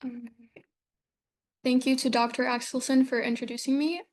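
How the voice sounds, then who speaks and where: Young woman presenting research, bright voice. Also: high voice, flat delivery, moderate pace. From Ukraine. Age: young adult. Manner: earnest, with frequent um fillers. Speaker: student presenter. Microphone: video call.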